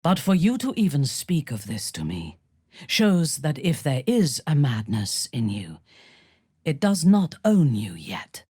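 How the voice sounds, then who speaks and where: An actress playing an imperious, ruthless dark elf warrior priestess in a video game, with a haughty, commanding manner and formal, measured phrasing. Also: female voice, low voice, huge pitch swings, breathy edge, no room sound, clean studio sound, full character voice. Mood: hushed, intense. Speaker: video game companion character. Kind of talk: dramatic video game voice acting.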